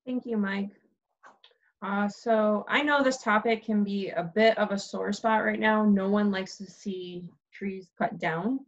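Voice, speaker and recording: A woman's voice: medium pitch, fairly even intonation, unhurried, highs cut off. A woman moderating a webinar, politely reading out questions from the chat and introducing speakers. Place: remote video call.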